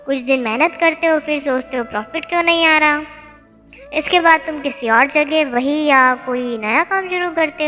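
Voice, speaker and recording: कहानी में एक महिला धैर्यवान, स्नेही बुज़ुर्ग गुरुजी का किरदार बोल रही है, जो पिता की तरह ठहरकर समझाते हैं।. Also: female voice, high-pitched, sharp tone, lo-fi sound. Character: patient, gentle old male guru teaching a lesson. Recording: long hall reverb, music bed.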